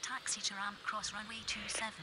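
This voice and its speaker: A businesslike airline pilot played by a woman reads back instructions in clipped, formal phrases. The game voice comes over an aircraft radio with clear noise. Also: mid-range voice, level tone, a little fast. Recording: noisy aircraft radio channel.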